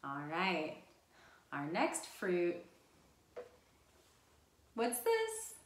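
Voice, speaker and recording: Animated children's storytime woman in an echoey room. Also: lowish voice, extremely slow, a little hiss or hum. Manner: sing-song, encouraging, addresses children directly. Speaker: children's storytime host at a library. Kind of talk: interactive storytime for young children. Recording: roomy, echoing sound.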